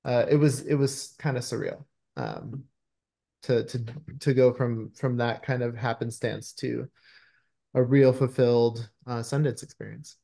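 A man's voice: mid-range voice, a little slow, gravelly, mellow. A man in his thirties, a screenwriter answering interview questions conversationally over a video call from a room at home.

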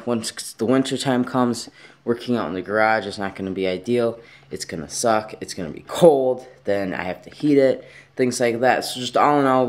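Easygoing young man rambling to camera. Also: lowish voice. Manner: rambling, easygoing, lots of fillers. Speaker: vlogger. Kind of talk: casual vlog talk to camera. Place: bedroom at home, indoors. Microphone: handheld camera at arm's length.

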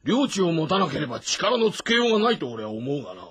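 Casting: blunt, brooding loyal warrior, very husky with swinging inflection, for a historical game drama. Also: male voice, fairly high pitch, very animated, fast, fairly bright tone, dry recording, heavily acted. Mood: restless.

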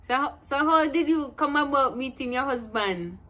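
Bright, high-voiced young Jamaican woman. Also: animated, normal speed. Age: 20s. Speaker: interviewer, granddaughter. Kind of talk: casual interview. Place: indoors, plain room.

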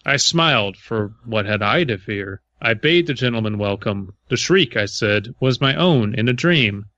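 Man, podcast co-host, forward bright voice, dry close mic, casual banter, story reading. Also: on the low side, lively intonation, normal speed, no room sound, muffled recording.

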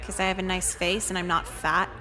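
A woman's voice: lowish voice, little pitch movement, brisk, bright, forceful tone, faint music behind, low-quality recording. A woman voicing a brash, foul-mouthed teenage schoolgirl in a visual novel game, blunt and sneering. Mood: sardonic.

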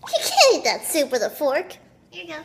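A young woman whose voice sits strikingly high, almost childlike, and leaps all over the place as she jokes. She talks in a bubbly, playful way, close to a phone camera. There is a clear hiss of noise under her voice. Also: huge pitch swings. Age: 20s. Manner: playful, bubbly, quick jokes, swings into squeals. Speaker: comedy video creator. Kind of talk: short comedy sketches. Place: at home, in cars and outdoors. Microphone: phone camera at arm's length.

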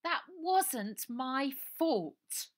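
A woman reading aloud and voicing a posh, plump, theatrical young girl in a high, gushing manner. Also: big pitch swings, drawn-out words, exaggerated voice. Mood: indignant. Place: small room indoors.

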